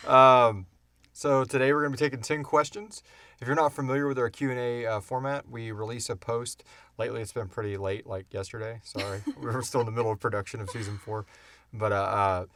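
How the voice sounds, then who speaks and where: A man's voice: mid-range voice, steady tone, brisk, fairly bright tone. A man hosting a casual unscripted podcast Q and A, talking informally and swearing freely. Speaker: podcast host and producer.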